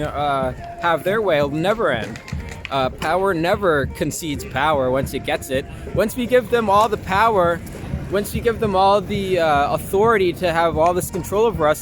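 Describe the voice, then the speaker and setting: A young man with a fairly high voice talks casually as an interview guest, informal and free with his opinions. He is recorded outdoors in a city, with music clearly playing behind him. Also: moderate pace. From Long Island, New York. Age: young adult. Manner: informal, mildly profane, opinionated. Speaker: interview guest, actor and performer. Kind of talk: casual street interview chat. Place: outdoors in an urban public spot.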